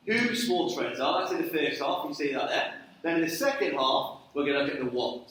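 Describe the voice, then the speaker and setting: Man, Geordie accent, thirties, chatty church talk, bright voice, very echoey hall. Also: medium pitch. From Newcastle, England. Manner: lively and chatty, checks in with "okay?". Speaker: guest speaker, sports ministry director.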